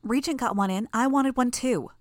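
A woman's voice: a little high, normal speed, dry recording, clean studio sound, voice pushed up, close to an everyday voice. A woman voicing a cocky, gleeful teenage girl villain who talks in a brash, crude way. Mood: blunt. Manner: brash, crude, pleased with herself.

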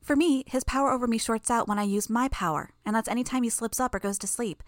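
A woman's voice: a little high, quick pace, dry recording, clean studio sound, pitched above the performer's own voice, close to an everyday voice. A woman doing a brash, gleeful teen girl. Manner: brash, crude, pleased with herself. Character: cocky, gleeful teenage girl villain.

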